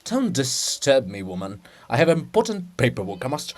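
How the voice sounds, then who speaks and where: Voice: mid-range voice, very animated, moderate pace, pitched below the performer's own voice. A reader voicing a fussy, self-important bearded male scribe in a dramatic farce, formal and indignant. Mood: pompous, indignant. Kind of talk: dramatic stage reading of a farce.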